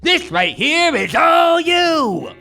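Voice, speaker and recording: A man playing the detective's gruff older father, drawling and fatherly with sudden loud cheers on a live comedy stage. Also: extremely high pitch, big pitch swings, slow pace, soft background music, full character voice. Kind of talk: live comedy radio-play acting.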